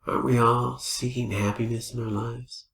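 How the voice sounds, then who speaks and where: A man leading a guided meditation as a teacher, speaking in gentle, unhurried invitations with pauses. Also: medium pitch, flat delivery, gravelly. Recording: roomy, echoing space.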